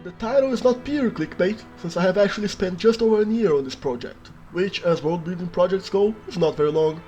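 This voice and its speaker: Man from Brazil, native Portuguese speaker, slightly high voice, dry self-mocking scripted narration, soft music underneath. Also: fairly quick, lo-fi sound. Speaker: worldbuilding video creator.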